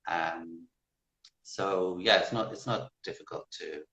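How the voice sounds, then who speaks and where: Low-pitched Irish man in a roomy space. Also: fairly bright tone. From Ireland. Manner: hesitant, thoughtful, pausing to rephrase. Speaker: guest, English teacher. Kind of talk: casual interview chat. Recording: roomy, slightly dull sound.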